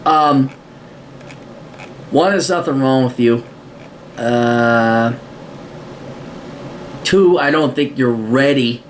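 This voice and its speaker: A blunt, profane American man hosts a podcast, reading out a listener's letter and answering it in casual rants. His voice sits in an ordinary middle pitch. A faint hiss of noise runs under the recording. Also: extremely slow, pauses often, poor audio. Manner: blunt, swears often, mocking voices for asides. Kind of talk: casual profane advice monologue.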